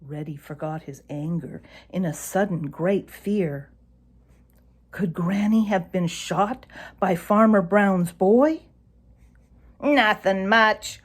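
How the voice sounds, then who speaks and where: A woman narrating a children's animal story aloud in an expressive storytelling style. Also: on the low side, takes it slowly, slightly rough.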